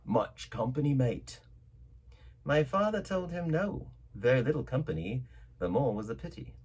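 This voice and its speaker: A man reading a classic story aloud as a narrator, with theatrical, measured phrasing and dramatic delivery. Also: mid-range voice, sing-song, a little mellow, husky. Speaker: audiobook narrator.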